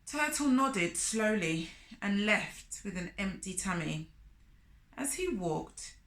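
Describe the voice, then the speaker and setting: A woman's voice: medium pitch, lively intonation, slow, fairly bright tone. A woman storyteller reading expressively for children, with chatty asides and questions to the young listeners. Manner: asks the listeners questions, comments on characters. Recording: echoey room.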